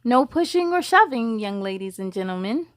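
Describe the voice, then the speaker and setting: A woman voicing a kind but gently firm first-grade teacher in a storybook, addressing a class politely. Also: on the high side, big pitch swings, moderate pace, dry recording. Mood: bright, welcoming.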